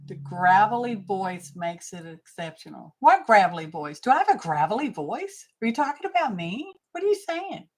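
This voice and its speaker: A woman's voice: medium pitch, sing-song, moderate pace, hardly any pauses. A middle-aged woman hosting a craft live stream, chatting casually and rambling to her viewers as she works.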